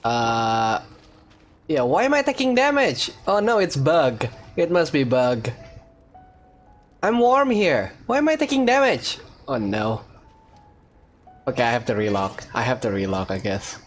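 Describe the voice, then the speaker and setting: A man's voice: mid-range voice, wildly swooping pitch, unhurried, long pauses. A man giving casual let's play commentary, reacting aloud and talking to himself. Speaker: gaming video host. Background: faint game music.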